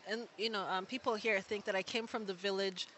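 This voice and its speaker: Bright, high-voiced young man from Kenya. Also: fairly quick, slight background noise. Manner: relaxed, chatty, many "like" fillers, laughs easily. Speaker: guest. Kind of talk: casual interview conversation.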